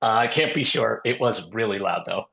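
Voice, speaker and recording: A man hosting an interview with a hoarse, scratchy voice, rambling and filling pauses with ums and uhs. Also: lowish voice, animated, bright, forceful tone, dull audio. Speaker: podcast host and investor. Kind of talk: casual interview talk.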